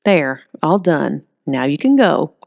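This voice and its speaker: A woman voicing a brisk, impatient young woman who talks curtly in an audiobook. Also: low-pitched, sing-song, creaky, a little mellow, no room sound, clean studio sound, full character voice. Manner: curt, exasperated.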